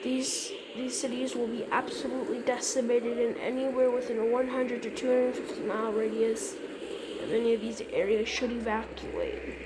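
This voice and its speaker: A woman with a fairly high voice reads a scripted emergency announcement. Her delivery is halting, with words repeated here and there. Clear background noise runs through the recording. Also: level tone. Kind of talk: scripted mock emergency alert narration.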